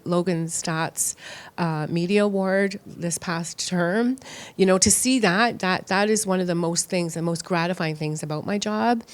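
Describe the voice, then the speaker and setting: Low-voiced middle-aged woman. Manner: earnest, repeats "you know". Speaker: podcast guest, college staff member. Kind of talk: casual conversational interview answers.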